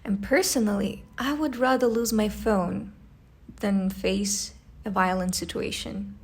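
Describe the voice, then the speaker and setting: A woman's voice: medium pitch, fairly even intonation, a little slow. A young woman from a post-Soviet country with an Eastern European accent, narrating her life abroad in a friendly, earnest, conversational way. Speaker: vlogger. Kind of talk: scripted voice-over narration.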